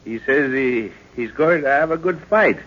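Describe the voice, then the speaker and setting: An actor playing a middle-aged professor and explorer, a gruff, impatient and excitable father figure in an old radio serial. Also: mid-range voice, moderate pace. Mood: excited wonder. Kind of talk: 1940s radio serial acting. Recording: muffled, lo-fi old radio recording.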